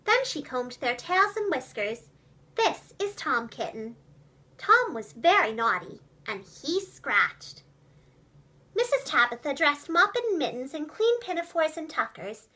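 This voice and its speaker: Lady doing storytime for little kids, high bright voice, really expressive. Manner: expressive, clearly enunciated, addressing young children. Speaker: storyteller, preschool teacher and voice actress. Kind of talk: animated children's storybook narration.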